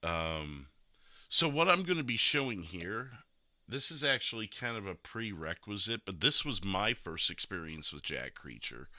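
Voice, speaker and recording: A man's voice: low voice, sing-song, normal speed, sharp tone, no room sound, clean studio sound. A man hosting a casual podcast in a rambling, self-deprecating and joking manner. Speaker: podcast host and game streamer.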